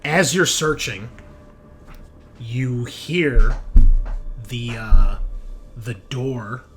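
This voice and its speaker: A man running a tabletop roleplaying game, improvising scene descriptions in a conversational, halting way. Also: medium pitch, fairly bright tone, faint music behind. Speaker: game master. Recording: clear background noise.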